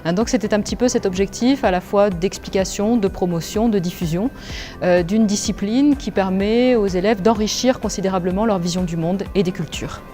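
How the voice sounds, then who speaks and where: A woman, likely an educator, explaining her view in a measured interview answer. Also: medium pitch, mellow, soft background music.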